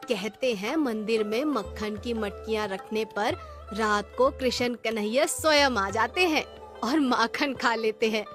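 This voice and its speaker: A woman's voice: a little high, a little slow, a bit bright, faint music behind. A woman voicing a caring, devout village mother in a cartoon story, coaxing and affectionate. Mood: gentle, caring.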